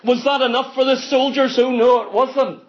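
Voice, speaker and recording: A man's voice: very high-pitched, steady tone, normal speed. A man preaching an impassioned sermon, telling the story dramatically and pressing each point with emphatic repetition. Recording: dull, lo-fi sermon recording.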